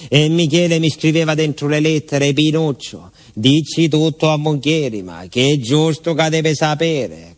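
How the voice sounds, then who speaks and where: A man's voice: fairly high pitch, lightly acted. An actor playing a naive, affectionate village postman with a southern Italian accent, telling his story in a colloquial, confiding stage monologue. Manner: colloquial, confiding, rambling, addresses a listener directly. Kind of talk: theatrical first-person narrative monologue.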